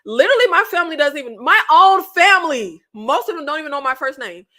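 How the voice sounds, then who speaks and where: A woman in her late thirties hosts her own channel and talks straight to her viewers in a loose, chatty way. Her voice sits high and sounds bright and sharp. She runs on in slangy asides and laughs often. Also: big pitch swings, quick pace. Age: 30s. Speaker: channel host. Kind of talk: casual chat to viewers.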